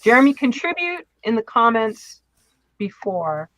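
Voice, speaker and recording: A woman's voice: on the high side, sing-song, a little slow, a bit bright, no echo, low-quality recording. A woman co-hosting a casual interview show. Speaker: co-host. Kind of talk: casual chat.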